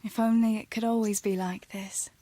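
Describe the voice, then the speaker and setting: An actress playing a tender, gently teasing young village woman in a medieval video game, soft-spoken and earnest. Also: mid-range voice, level tone, normal speed, no room sound, close to an everyday voice. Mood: tender, worried. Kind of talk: naturalistic video game dialogue acting.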